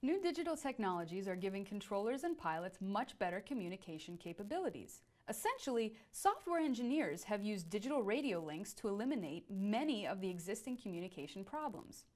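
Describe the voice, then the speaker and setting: Lively-pitched woman reading educational narration. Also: a little fast, few pauses. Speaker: presenter.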